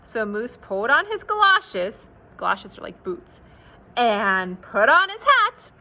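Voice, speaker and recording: A woman reading a children's picture book aloud in an expressive, playful, sing-song way, with asides to young listeners. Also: a little high, slow. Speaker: children's read-aloud storyteller.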